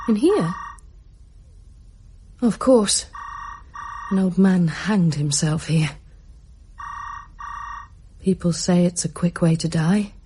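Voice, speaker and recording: An actress playing a fragile, abandoned woman obsessed with love, halting and brooding in a radio drama. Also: mid-range voice, mellow, slight background noise. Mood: numb despair.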